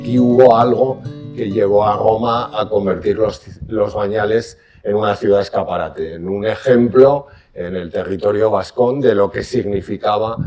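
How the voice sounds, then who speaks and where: Low-voiced man explaining archaeology. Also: moderate pace, darkish tone, faint music behind. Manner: explanatory, academic. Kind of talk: documentary interview.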